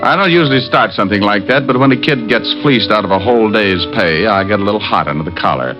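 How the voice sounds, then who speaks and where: An American actor playing a cocky, wry private detective in an old radio drama. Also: male voice, fairly low pitch, fast talker, music in the background, natural voice. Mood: narrating. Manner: wry, bantering, deadpan teasing. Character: wisecracking, cocky male private detective, former cop. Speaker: lead actor and narrator. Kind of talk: 1940s-50s radio detective drama acting. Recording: dull vintage radio recording.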